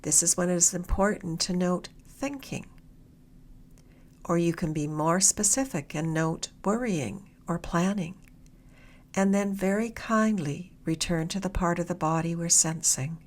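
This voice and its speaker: Low-pitched woman gently guiding meditation. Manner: gentle, soothing, unhurried with long pauses. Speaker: meditation guide, mindfulness mentor. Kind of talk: guided meditation.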